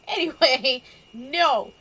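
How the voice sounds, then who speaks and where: A woman's voice: sits high, bright, forceful tone, husky, poor audio. A woman giving casual, playful live-stream gaming commentary with sarcastic asides. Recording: game music behind the voice. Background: game music.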